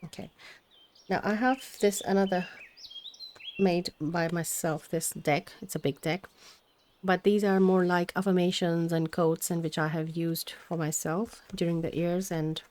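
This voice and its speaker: A woman tarot reader whose first language is Hindi, her voice sitting a little low. She talks gently and meanders, often pausing on fillers and restarting, with birds chirping faintly behind her. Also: fairly even intonation. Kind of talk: spiritual tarot reading.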